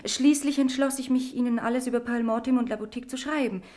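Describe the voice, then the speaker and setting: A woman's voice: fairly high pitch, fairly even intonation, quick pace, a little mellow, close to an everyday voice. An actress playing a frightened young woman with an addiction, confessing earnestly in in a radio crime drama. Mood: confessional, tense.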